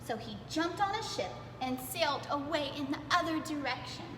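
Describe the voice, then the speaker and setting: Lady doing preschool storytime, high playful voice, really roomy reverb and noise behind her. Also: normal speed. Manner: playful, expressive, emphasizes word lists. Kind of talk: animated children's read-aloud. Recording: echoey reverberant room with clear background noise.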